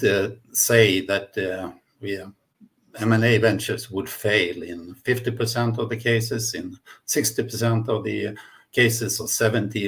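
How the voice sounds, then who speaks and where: A man with a Swedish accent giving a webinar presentation as guest speaker, often pausing mid-sentence. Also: on the low side, gravelly. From Sweden. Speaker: guest speaker, management consultant. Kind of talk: webinar presentation, semi-spontaneous.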